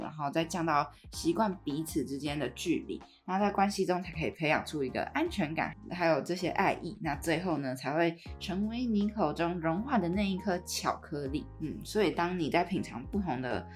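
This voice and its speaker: Low-voiced Taiwanese woman hosting. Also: lively intonation, fairly quick, hardly any pauses, soft background music. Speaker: podcast host. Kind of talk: conversational podcast presenting.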